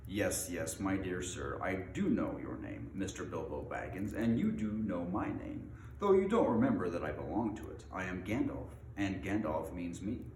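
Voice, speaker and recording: A commanding old wizard, acted out by a man reading a storybook, brusque and testy. He speaks with pedantic emphasis and lively swings of pitch. The room echoes around him, with faint background noise. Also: normal speed, hardly any pauses. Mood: indignant.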